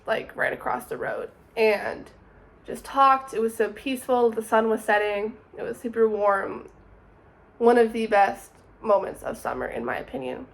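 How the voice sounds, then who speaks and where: A woman's voice: a little high, rough recording. A woman of twenty, narrating her summer in a chatty, upbeat vlog voice-over. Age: 20s. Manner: chatty, upbeat, says "super" often. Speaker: vlogger.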